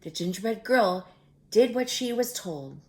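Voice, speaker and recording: Slow, animated woman reading to children. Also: medium pitch. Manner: animated, explains and comments simply. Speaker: children's story reader, teacher. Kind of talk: expressive read-aloud for young children with asides.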